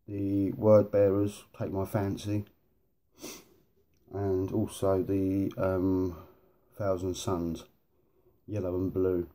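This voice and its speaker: Low, mellow-voiced man, rambling and sniffly. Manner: informal, matey slang with repeated catchphrases. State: sniffly, blocked nose. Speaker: host of a hobby video series. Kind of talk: casual rambling hobby vlog.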